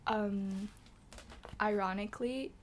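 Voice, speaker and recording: A woman's voice: mid-range voice, steady tone, slow pace, slight background noise. A young woman in her late teens from Macau, talking with a slightly British-tinged accent. She chats casually as a guest, piling up fillers, and often lets her phrases fade into a near whisper. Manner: chatty, filler-heavy, trails into soft half-whispers. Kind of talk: casual chat.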